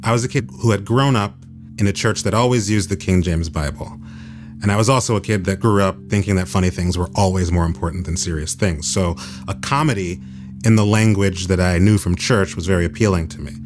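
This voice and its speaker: Male host, low register, scripted arts-radio narration, music underneath. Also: fairly quick. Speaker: host, writer and theater critic.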